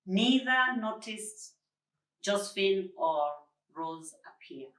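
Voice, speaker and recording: A woman reading a children's story aloud with deliberate, dramatic phrasing and expressive stress on words. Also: mid-range voice. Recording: roomy echo, distant mic.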